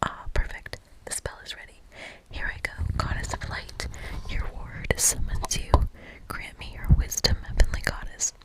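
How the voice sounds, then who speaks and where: A woman's voice: normal speed, close to an everyday voice, rough recording. A woman voicing an earnest, workaholic young witch in a whispered game read-aloud, dramatic and fretful. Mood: solemn, reverent. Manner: dramatic, fretful, solemn incantations.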